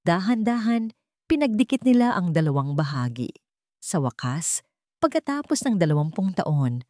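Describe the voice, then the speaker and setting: A woman's voice: on the low side, dark tone, dry recording, studio quality. A woman with a Filipino accent reading a dramatic story as its narrator in scripted storytelling style.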